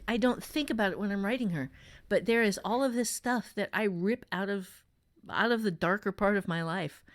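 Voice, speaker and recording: A woman's voice: mid-range voice, no room sound, studio quality. A woman hosting a casual talk on writing, giving advice earnestly and thinking aloud with emphatic repetition. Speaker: podcast host and novelist.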